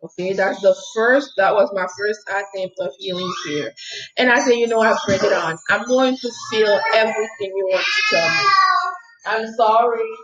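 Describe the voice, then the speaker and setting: A woman who works as a healer telling a motivational story in a casual talk, animated and repeating "okay?" often, her English a little non-native in its grammar. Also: mid-range voice, moderate pace. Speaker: healer and speaker. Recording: dull lo-fi sound with faint noise.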